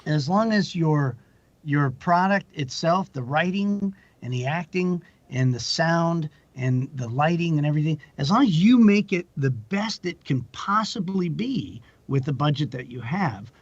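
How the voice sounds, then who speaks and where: A man's voice: a little high, expressive, unhurried, dry recording. A man of about sixty giving casual advice as a podcast guest, rambling and self-deprecating, often repeating his words. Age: 60s. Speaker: podcast guest, actor and producer. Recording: dull lo-fi audio.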